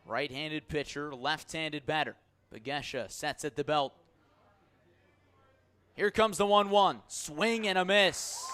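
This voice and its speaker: A man calling a baseball game live on radio as play-by-play announcer, measured between pitches and lifting his voice on the action. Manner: measured narration rising to excited shouts on key plays. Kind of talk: live sports commentary.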